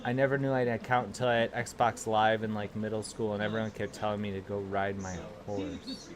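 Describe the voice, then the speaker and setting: A man's voice: on the low side, flat delivery, brisk, a crowd in the background. A young man with a Chicago accent, hosting a casual livestream from a small room at home and telling rambling, profane stories to his chat. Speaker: livestream host.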